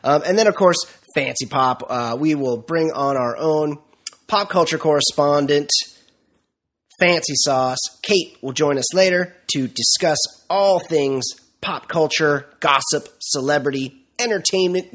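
A middle-aged man hosts a joking podcast with a nasal voice, congested from a cold. His tone is husky and a little rough. He teases in a mock-formal, pompous way. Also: fairly high pitch, a little slow, a bit bright. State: stuffy from a cold. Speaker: podcast host. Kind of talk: casual comedic podcast banter.

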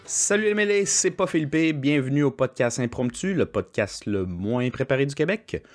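A man's voice: mid-range voice, lively intonation, moderate pace, faint music behind. A man with a Québécois French accent hosting a podcast, jokey and chatty, with fillers and restarts. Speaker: podcast host. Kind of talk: casual podcast chat.

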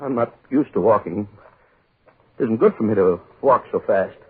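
A man's voice: lowish voice, normal speed, slightly rough. An actor playing a pompous, irritable wealthy middle-aged man who blusters, pleads and repeats himself, in 1940s American radio horror style. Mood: frightened, pleading. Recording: muffled old 1940s radio recording.

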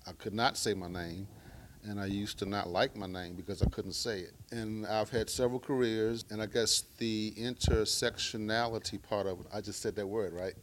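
A middle-aged man from Baltimore, Maryland, who stutters, speaking in a deliberate, measured way at a live talk. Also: on the low side, fairly even intonation, slight background noise. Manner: deliberate, measured speech using stuttering techniques. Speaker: speaker sharing his story.

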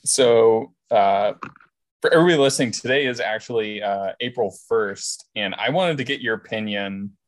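A man with a slightly raspy voice, chatting casually and jokingly as a podcast guest. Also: lowish voice, normal speed. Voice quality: a little raspy. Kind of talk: casual chat.

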